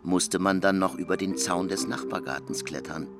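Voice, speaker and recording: Very deep, gravelly male narrator. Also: moderate pace, over music. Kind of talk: literary narration for a radio play.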